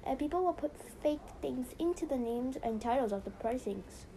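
A woman's voice: high-pitched, lively intonation, moderate pace, mellow, slight background noise, rough recording. A young woman hosting a casual podcast, chatty and rambling with lots of "so yeah". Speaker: podcast host.

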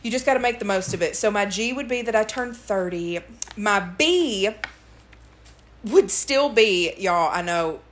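A chatty woman, co-hosting a relaxed podcast. She talks freely and breaks into laughter often. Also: medium pitch, measured pace. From West Tennessee. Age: 30s. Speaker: podcast co-host. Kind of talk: casual chat.

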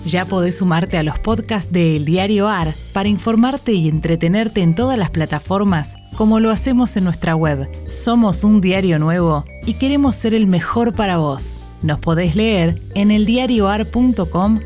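A woman reading a scripted promo for a news outlet's podcasts in a smooth, upbeat delivery. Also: mid-range voice, moderate pace, few pauses, darkish tone, faint music behind. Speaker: announcer.

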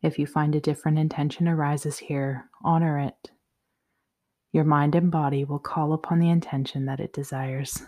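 A woman guiding a yoga nidra meditation in a soothing, measured, softly spoken way. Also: low-pitched, little pitch movement. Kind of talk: guided meditation, slow instructions.

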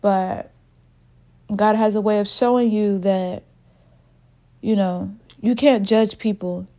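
Woman, spiritual podcast host, mellow dark tone, a little sick, candid, informal. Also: mid-range voice, steady tone, slow. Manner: candid, informal, talks straight to listeners as y'all.

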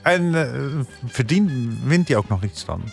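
A man's voice: mid-range voice, expressive, unhurried, gravelly. A man with a Netherlands Dutch accent chatting casually as a panelist on a podcast. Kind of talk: casual chat. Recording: music bed behind the voice.

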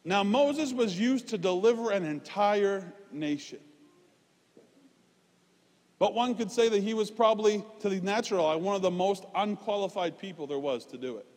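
A man preaching a conversational, informal sermon, emphatic at points and dropping into quieter, self-deprecating asides. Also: high-pitched, lively intonation, moderate pace. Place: large reverberant room, preaching live. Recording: reverberant hall sound.

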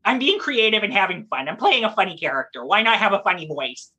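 A drag performer talks as an interview guest in a bright, forceful voice at a medium pitch. The delivery is conversational and emphatic, explaining and storytelling with animation. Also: big pitch swings, quick pace, husky.

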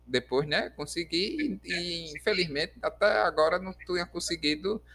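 A man's voice: high-pitched, animated, moderate pace, sharp tone. A man hosting a playful mock radio interview podcast, teasing his guest and tripping over his words. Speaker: host of a homemade radio-style interview podcast.

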